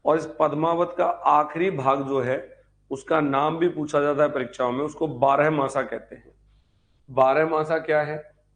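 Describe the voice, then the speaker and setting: Higher-pitched man teaching informally. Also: steady tone, measured pace, fairly bright tone. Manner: repeats key points and asks check questions. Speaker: history teacher for exam preparation. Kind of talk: informal live online lecture.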